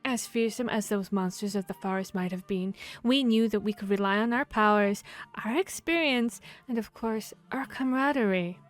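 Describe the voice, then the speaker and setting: A woman playing a boastful, playful vampire hunter in a fantasy audio roleplay, speaking in a theatrical, expressive storytelling manner. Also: mid-range voice, moderate pace, few pauses, close to an everyday voice. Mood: dramatic, proud. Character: boastful, playful female vampire hunter telling tavern tales. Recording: music behind the voice. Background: background music, tavern ambience.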